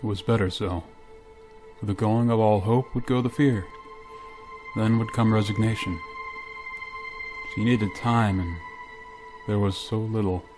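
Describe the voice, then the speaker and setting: Actor as a low, grave spaceship pilot. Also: male voice, fairly even intonation, over music, natural voice. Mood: brooding. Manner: halting, solemn, somber. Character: grave, reluctant male spaceship pilot bearing terrible news. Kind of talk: radio drama acting with narration.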